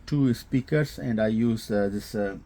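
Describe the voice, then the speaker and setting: Man explaining gear in a halting, mellow voice. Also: on the low side. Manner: halting, repetitive explaining with frequent hesitations. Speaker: host demonstrating audio equipment. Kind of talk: unscripted technical product demonstration.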